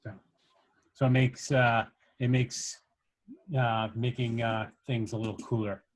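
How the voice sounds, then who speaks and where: A man instructing a workshop, explaining things step by step in an informal, halting way as he shares his screen. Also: medium pitch, level tone. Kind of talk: casual screen-share coding tutorial.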